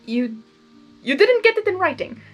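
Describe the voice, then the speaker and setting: A woman voicing a possessive male chaos creature in a fanfiction story, a loud, coaxing suitor full of nervous bluster. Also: very high-pitched, big pitch swings, moderate pace, lo-fi sound, faint music behind, pitched above the performer's own voice. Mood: nervous, evasive.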